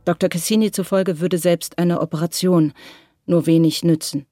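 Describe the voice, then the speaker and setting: A woman narrating a radio crime drama in a sober, measured, literary style. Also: lowish voice, fairly even intonation, warm tone, no echo, clean studio sound, soft background music, natural voice.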